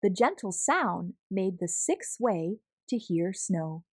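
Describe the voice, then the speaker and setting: A woman reads a picture book aloud for children. Her delivery is expressive and deliberate, with a very lively melody and an unhurried pace. The sound is dry and close. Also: mid-range voice, no room sound. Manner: animated, deliberate storytelling with acted sound words. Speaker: storybook read-aloud host.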